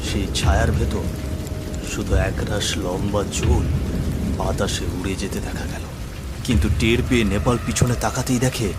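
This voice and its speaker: A man's voice: on the low side, steady tone, moderate pace, heavy background noise. A man narrating a scripted horror cartoon story dramatically, with music, rain and thunder behind him.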